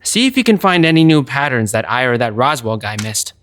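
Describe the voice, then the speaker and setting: A man's voice: medium pitch, sing-song, brisk, fairly bright tone. An actor playing an earnest, socially awkward, bookish American teenage boy in an audio drama. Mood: intent. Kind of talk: conversational audio drama acting.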